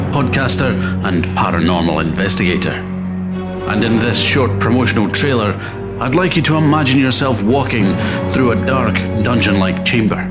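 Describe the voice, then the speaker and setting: A man presenting a scripted podcast trailer like a radio personality. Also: fairly low pitch. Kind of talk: scripted promotional trailer for a comedy horror podcast. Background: loud music.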